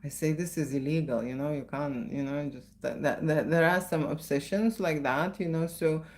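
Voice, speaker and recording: A woman's voice: deep voice, fairly even intonation, moderate pace, a little mellow, a little hiss or hum. A middle-aged woman with a Lithuanian accent, a photographer chatting in a live question session and often tagging her sentences with "okay?" and "right?". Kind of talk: live Q&A chat.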